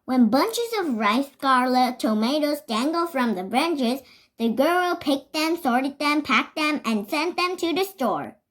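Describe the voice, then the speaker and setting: A child reads a storybook aloud in a high voice that swings up and down in pitch. The delivery is slow and careful, slightly halting, with words given deliberate emphasis. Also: lively intonation, fairly bright tone. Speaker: reader. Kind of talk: reading a children's picture book aloud.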